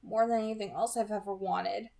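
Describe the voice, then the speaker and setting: Insecure lovestruck teen boy lady reading him, hesitant n earnest, bit gravelly, audiobook thing. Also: medium pitch, steady tone, fairly quick, mellow, close to an everyday voice. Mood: shy, hopeful.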